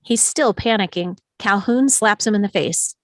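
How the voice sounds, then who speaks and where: A woman's voice: mid-range voice, normal speed, a bit bright, no room sound. A woman reading scene directions as the narrator of a live script table read.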